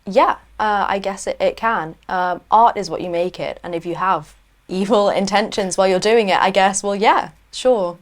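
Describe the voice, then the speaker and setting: A woman on a discussion panel talks casually about reading and personal experience. Her voice sits at a middle pitch, and she sounds hesitant and agreeable, often trailing off with small laughs. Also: moderate pace. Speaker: literature panelist.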